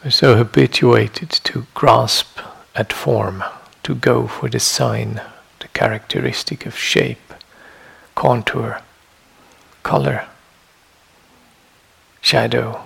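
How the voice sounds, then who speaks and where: Guy leading a guided meditation, really husky n raspy, lots of gravel, measured short phrases w long gaps. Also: medium pitch, fairly even intonation, a bit bright. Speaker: meditation teacher. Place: meditation hall.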